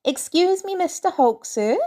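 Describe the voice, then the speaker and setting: A woman's voice: very high voice, animated, a little slow, dark tone, pitched above the performer's own voice. A woman voicing an enthusiastic, polite young superhero boy in a fan fiction story. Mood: polite, bold.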